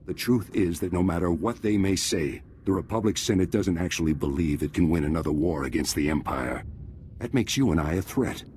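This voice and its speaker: An actor playing a grave, disillusioned military commander in a video game, speaking in a solemn, measured, formal way. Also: male voice, deep voice, a little mellow, slight background noise, lightly acted. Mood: bitter resolve. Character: disillusioned male traitor commander, grave and honorable soldier. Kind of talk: video game cutscene acting.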